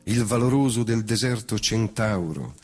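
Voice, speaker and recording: A man's voice: on the low side, lively intonation, normal speed. A man reciting a verse memoir in a lyrical, declamatory stage manner. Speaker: reciting actor. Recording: loud band music behind the voice. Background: wind band accompaniment.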